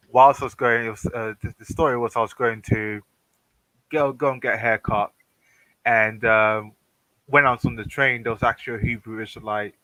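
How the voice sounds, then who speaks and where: British man telling a halting story. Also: on the low side, bright, forceful tone, dry recording, rough recording. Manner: hesitant, many ums and restarts. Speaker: guest on a Discord hangout. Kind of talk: casual storytelling on a voice call. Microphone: computer mic on a voice call, no webcam.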